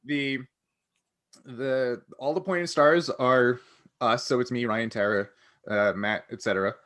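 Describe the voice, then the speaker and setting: Bright-voiced man chatting on a podcast. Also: medium pitch, steady tone, a little slow. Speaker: podcast co-host. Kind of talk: casual group chat.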